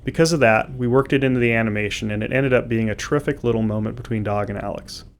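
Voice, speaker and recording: A man's voice: mid-range voice, quick pace. Man narrating in a medium register. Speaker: game developer. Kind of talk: scripted developer commentary narration.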